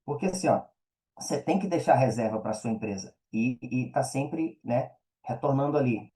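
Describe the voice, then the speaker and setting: A man's voice: medium pitch, moderate pace, mellow. A man with a Brazilian accent speaking as a podcast guest, chatting informally and often checking that the listener follows. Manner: informal, peppered with check-in tags. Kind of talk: casual interview chat.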